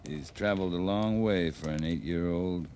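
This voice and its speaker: An actor playing a steady, earnest US cavalry officer in a radio western, talking in a plainspoken, deliberate way. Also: male voice, low voice, lightly acted. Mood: focused, businesslike. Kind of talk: 1950s radio western acting. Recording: slightly noisy vintage radio recording.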